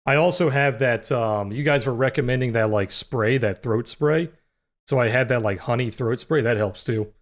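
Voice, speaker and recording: Young male streamer, deep voice prone to cracks, laid-back conversational delivery at a desk mic. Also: normal speed. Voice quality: naturally deep voice that sometimes cracks high. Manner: relaxed, filler-heavy, answers chat questions. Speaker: livestream trading host. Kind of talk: casual live-stream chat with chat readers.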